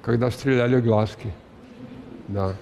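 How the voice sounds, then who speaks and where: An older man being interviewed as a guest actor on a studio talk show, speaking haltingly with many filler sounds. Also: medium pitch, fairly even intonation, vocal fry, big-room sound. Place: TV studio with audience. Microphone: headset mic.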